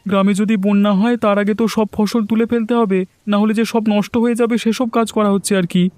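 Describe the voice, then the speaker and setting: A man's voice: high voice, little pitch movement, fast, darkish tone, clear, resonant tone, soft background music, close to an everyday voice. A man voicing an earnest, worried young village farmer in a cartoon. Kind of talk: cartoon voice acting.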